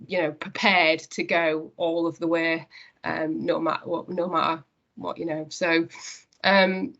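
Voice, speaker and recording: A woman's voice: low voice, flat delivery, unhurried, creaky, a bit bright, lo-fi sound. A woman with a Northeast English accent speaking earnestly in a conversational interview as a company director. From Northeast England.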